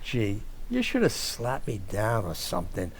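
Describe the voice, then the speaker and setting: A man acting a doltish, soft-hearted husband and doting son in an old-time radio comedy, gushing and excitable. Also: on the low side, unhurried, a little hiss or hum, exaggerated voice. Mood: tender, contrite.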